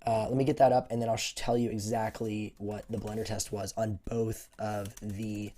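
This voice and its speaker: A man with a voice hoarse from a cold, answering viewer questions on a casual livestream, rambling with lots of fillers. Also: lowish voice, little pitch movement, normal speed, dark tone, a little hiss or hum. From United States. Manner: rambling, many fillers and restarts. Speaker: tech YouTuber hosting a livestream Q&A.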